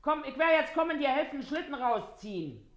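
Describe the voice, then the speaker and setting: Shrewd Berlin washerwoman, bossy, quick-tongued, folksy, swinging intonation, radio drama. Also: high-pitched, big pitch swings, muffled recording. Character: shrewd, quick-tongued, hard-working washerwoman and sly thief.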